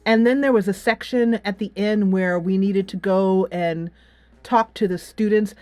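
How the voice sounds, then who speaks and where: A middle-aged woman giving a casual, giggly video game review, animated and emphatic, drawing out words for effect. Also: medium pitch, unhurried, dry recording.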